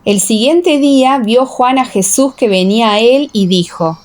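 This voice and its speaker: A woman narrating a dramatised scripture audiobook in a solemn, measured way. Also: a little high, a little mellow.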